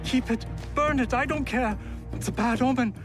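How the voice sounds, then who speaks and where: A man's voice: very high voice, normal speed, over loud music. An actor playing a flustered, guilt-ridden male watch commander with a gambling habit in a game cutscene, stammering and self-pitying. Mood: nervous, sheepish. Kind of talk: video game cutscene acting.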